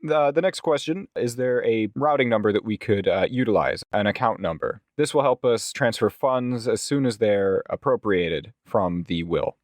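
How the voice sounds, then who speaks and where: A man playing a polite, officious legal agent in a tabletop game, speaking formally in measured, wordy sentences. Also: fairly low pitch, expressive, no room sound, lightly acted. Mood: courteous, probing.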